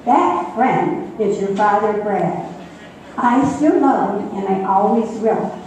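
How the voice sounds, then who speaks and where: An older woman playing a regretful mother in an amateur radio play, with a halting, deliberate delivery. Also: mid-range voice, darkish tone, lightly acted. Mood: confessional, tender. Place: echoey room at a senior center. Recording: very echoey, distant mic, faint noise.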